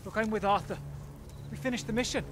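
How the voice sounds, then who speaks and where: An actor playing a brash, plain-spoken British soldier in a war video game, colloquial and forthright. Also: male voice, sits high, fast talker, a bit bright, a little hiss or hum. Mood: exasperated.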